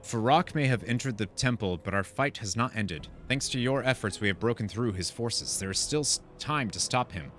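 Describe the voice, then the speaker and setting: A man's voice: medium pitch, expressive, moderate pace, few pauses, fairly bright tone. A man doing casual game commentary, thinking aloud and reading quest text out. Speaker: gaming content creator. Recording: faint game music behind. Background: game music and sounds.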